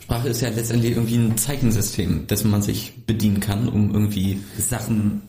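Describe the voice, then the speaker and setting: A man with a low, mellow voice tells stories in an easygoing, agreeable manner. His voice carries a noticeable room echo, as if recorded in an untreated space. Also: fairly even intonation, a little fast. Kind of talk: casual podcast conversation.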